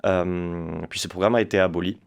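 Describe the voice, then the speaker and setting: A man's voice: sits low, fairly even intonation, moderate pace, creaky, smooth. A man in his twenties from France, a guest spokesperson talking casually in an interview, with lots of hesitations and restarts. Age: 20s. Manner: hesitant, many fillers and restarts.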